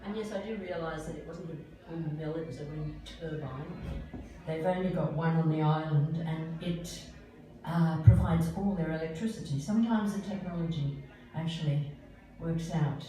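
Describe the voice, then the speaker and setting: A woman's voice: low-pitched, moderate pace, dark tone. An older woman giving a live spoken-word performance, speaking her own material with casual asides. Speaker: singer-poet performing own work. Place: live performance venue, indoors. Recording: echoey room from far off, guitar music.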